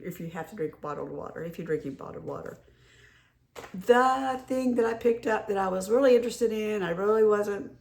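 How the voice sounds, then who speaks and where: A middle-aged woman, hoarse from a head cold, chatting casually and rambling with many restarts while showing off a shopping haul. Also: medium pitch, a little mellow. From North Carolina. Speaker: haul vlogger. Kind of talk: casual show-and-tell chat.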